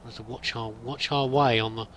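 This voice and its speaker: A man's voice: mid-range voice, steady tone, a little fast, a bit bright, a little hiss or hum. A man roleplaying a pilgrim in a game, musing and thinking aloud in a hesitant, wandering way. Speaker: let's play roleplayer. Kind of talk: in-character game commentary.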